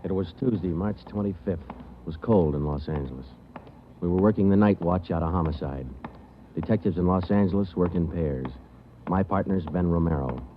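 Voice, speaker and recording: An actor playing a terse police sergeant who narrates a case in clipped, matter-of-fact 1940s radio drama style. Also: male voice, low-pitched, normal speed, noisy background, close to an everyday voice. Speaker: detective narrator. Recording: muffled old radio broadcast.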